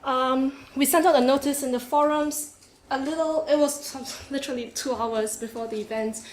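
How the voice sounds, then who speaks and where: A woman with a Singaporean accent giving an academic conference talk in a seminar room, lively, with frequent "um"s and laughs. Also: sits high, moderate pace. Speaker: conference speaker. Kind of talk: academic presentation. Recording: echoey room, faint noise.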